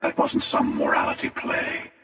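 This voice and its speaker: An actor playing a smug, world-weary older hunter in a video game, with a gravelly voice, a measured sardonic delivery and an echoing reverb effect. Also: male voice, fairly bright tone. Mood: mocking, stern. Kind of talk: video game character dialogue. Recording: echoing long reverb, distant-sounding.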